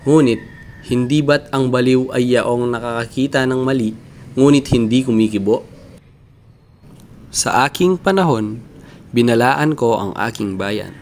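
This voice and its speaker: A man playing a misunderstood old philosopher in a grave, deliberate, oratorical dramatic monologue. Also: medium pitch, a little mellow, slight background noise, close to an everyday voice. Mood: impassioned, reproachful. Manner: oratorical, deliberate, rhetorical questioning. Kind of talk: theatrical dramatic monologue in Filipino.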